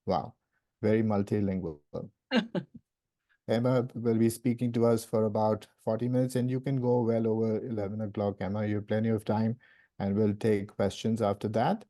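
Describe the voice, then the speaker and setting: A man acts as host of a talk, introducing the speaker and handling housekeeping. His voice is fairly low, with a dark, relaxed tone, and part of his delivery is read out. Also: moderate pace. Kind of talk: event introduction, partly read out.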